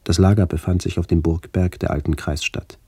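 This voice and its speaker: A middle-aged man reading a literary memoir for radio in a measured, sober way, with a gravelly voice. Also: low-pitched, steady tone, darkish tone, dry recording, studio quality. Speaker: author-narrator of a memoir radio play. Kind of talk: scripted literary narration, reminiscence.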